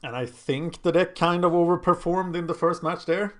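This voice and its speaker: A man's voice: a little high, normal speed, fairly bright tone. A man with a Swedish accent, a native Swedish speaker, thinking aloud and chatting as he commentates his own card game.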